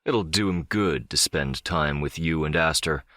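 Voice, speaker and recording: A man's voice: very deep voice, unhurried, bright, forceful tone, no echo, studio quality, exaggerated voice. A man playing a powerful, middle-aged crime boss in an audiobook drama, controlled, condescending and smugly self-assured. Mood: cool, polite. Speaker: audiobook voice actor.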